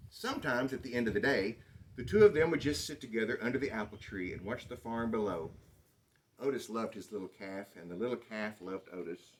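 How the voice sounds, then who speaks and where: A man reading a children's picture book aloud in an expressive, dramatic storytelling manner. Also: on the low side, brisk. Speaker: storybook reader.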